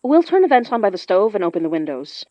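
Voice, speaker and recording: A woman playing an earnest, high-strung occult practitioner in an audio drama, speaking in an animated, natural way. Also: quick pace, no room sound, lo-fi sound, close to an everyday voice. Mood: eager. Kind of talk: audio fiction podcast acting.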